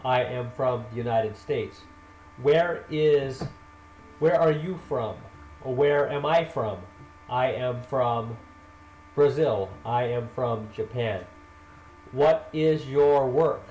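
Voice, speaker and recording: A man teaching a basic English grammar lesson, speaking deliberately, drilling example sentences and correcting himself. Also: mid-range voice, a little mellow, muffled recording, slight background noise. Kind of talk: slow spoken grammar lesson. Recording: roomy echo with faint ambience.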